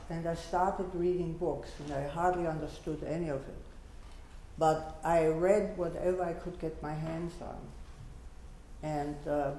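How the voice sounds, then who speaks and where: Old woman, 80s, earnest testimony, mellow tone, big echoey lecture hall. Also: low voice, slight background noise. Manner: earnest, measured, gently exhorting. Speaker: Holocaust survivor panelist.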